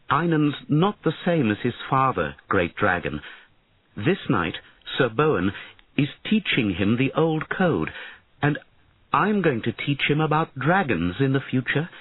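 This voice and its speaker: A man's voice: husky, dry recording. A man voicing an earnest, anxious queen pleading for her son, in a raised voice. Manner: high, urgent, pleading. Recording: tinny lo-fi audio.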